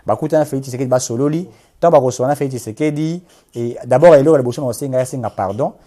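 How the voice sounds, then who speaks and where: A man's voice: mid-range voice, fast, dark tone. A man with a Congolese accent speaking as a political activist in an interview, emphatic and impassioned, repeating points and switching between two languages.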